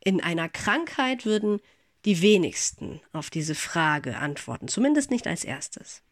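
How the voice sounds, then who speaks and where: A middle-aged woman pastor giving a calm spoken devotional, her voice a little congested as she recovers from an infection. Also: on the low side, measured pace, no echo, clean studio sound. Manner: calm, gentle, reflective address to listeners.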